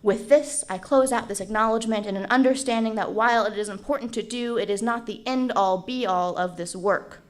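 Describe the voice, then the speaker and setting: A woman from the United States facilitating a community panel, reading a land acknowledgement in a measured, earnest way. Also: a little high. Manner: measured, earnest delivery, switching to French.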